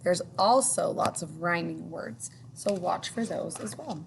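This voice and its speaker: A woman's voice: fairly low pitch, measured pace, vocal fry, slight background noise. A woman teacher reading a children's picture book aloud, with singsong emphasis on the rhymes.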